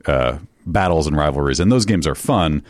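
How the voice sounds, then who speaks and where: A podcast co-host speaking in a very low voice, chatting casually in a studio. He rambles, restarts and hedges his way through explanations. The sound is dry and close. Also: expressive, normal speed, a little mellow, no echo.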